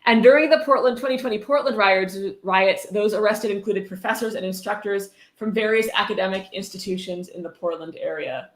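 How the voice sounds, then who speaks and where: A woman hosts a livestream with a mid-range voice, sounding informal and unfiltered as she chats and reads. The room around her adds a clear echo to everything she says. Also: mid-range voice, brisk, few pauses. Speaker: livestream host. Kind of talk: casual livestream chat and book reading. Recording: roomy, echoing livestream audio.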